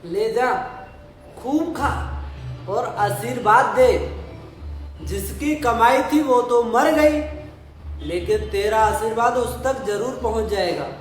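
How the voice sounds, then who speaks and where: A man's voice: very high voice, lively intonation, takes it slowly, pauses often, faint music behind, heavily acted. An actor playing a drunken, self-justifying village father in his sixties in an audio play, emphatic and theatrical. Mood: grandly generous. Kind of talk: stage-style Hindi audio play acting. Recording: echoey room, faint background noise.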